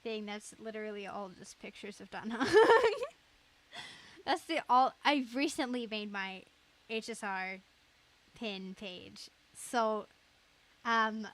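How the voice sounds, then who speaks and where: A woman's voice: mid-range voice, slow, pauses often, a bit bright, low-quality recording. A young woman live streamer chatting casually in a giggly, rambling way.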